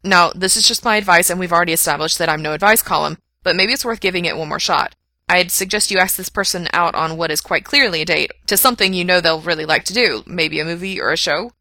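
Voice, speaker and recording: Woman voicing a forceful, flustered male punk radio DJ. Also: lowish voice, brisk, dry recording. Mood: flustered, eager. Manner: emphatic, blunt, on-air patter.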